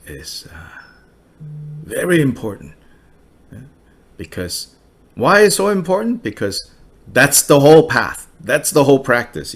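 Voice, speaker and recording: A man giving a relaxed Buddhist teaching over a video call, laughing often and using many fillers. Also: medium pitch, breathy edge.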